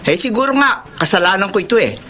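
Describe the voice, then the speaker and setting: A woman's voice: mid-range voice, expressive, quick pace, a little hiss or hum, close to an everyday voice. An actress playing a bitter middle-aged mother who nags and scolds with sarcasm in a Filipino radio drama. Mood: scolding. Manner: sharp, sarcastic, ranting.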